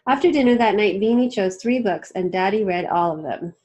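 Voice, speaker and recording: A woman narrating a children's storybook aloud in an expressive read-aloud style. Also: mid-range voice, brisk, dull audio, slight background noise. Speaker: storybook reader.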